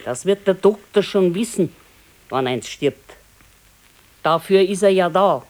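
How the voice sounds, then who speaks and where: An actress playing a practical, contented older wife in a naturalistic radio play, speaking matter-of-factly with a Bavarian accent. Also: female voice, fairly low pitch, lively intonation, unhurried, darkish tone. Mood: dismissive reassurance. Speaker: radio drama actor. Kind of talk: naturalistic 1970s radio play dialogue in dialect.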